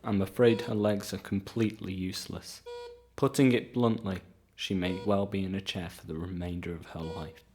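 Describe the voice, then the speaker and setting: A man playing a grave, blunt doctor in a radio drama, measured and matter-of-fact. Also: low-pitched, fairly even intonation, lightly acted. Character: grave, blunt male doctor giving bad news.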